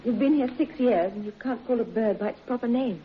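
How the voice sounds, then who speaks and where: A woman's voice: a little high, brisk, close to an everyday voice. An actress playing a genteel, teasing young bride with her secret lover in an old radio drama. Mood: teasing, uneasy. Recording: dull, noisy old radio recording.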